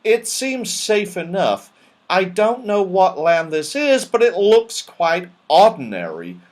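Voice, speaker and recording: A man's voice: high voice, very animated, slow pace, pitched above the performer's own voice, exaggerated voice. A man voicing an anxious, earnest male fairy companion in a children's storybook, with emphatic delivery. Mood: earnest, instructing.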